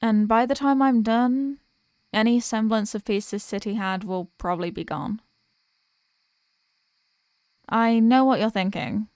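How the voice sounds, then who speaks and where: A woman playing a remorseful villain and former hero, confessing to a lost love in a halting, earnest dramatic monologue. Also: on the high side, darkish tone, no room sound, close to an everyday voice. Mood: grim resolve, then hesitant. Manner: halting, earnest, pausing between phrases. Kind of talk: dramatic audio roleplay monologue, voicemail confession.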